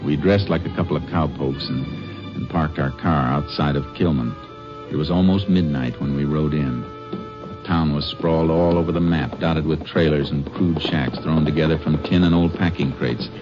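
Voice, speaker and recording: An actor playing a seasoned, level-headed Texas Ranger investigator in a 1950s radio drama, laconic and folksy, matter-of-fact. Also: male voice, rumbling low, moderate pace. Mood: narrating. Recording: muffled vintage radio recording with music.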